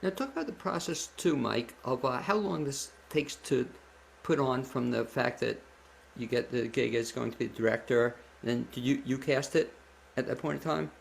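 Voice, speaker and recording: Male interview host, gravelly, chatty and rambling, with some background noise. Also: medium pitch, normal speed. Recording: clearly noisy background.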